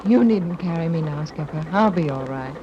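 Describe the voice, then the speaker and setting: A woman's voice: low-pitched, animated, moderate pace, warm tone. A woman acting a gracious but anxious mother in command of a rescue boat in a 1930s radio serial. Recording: muffled, noisy old 1930s radio recording.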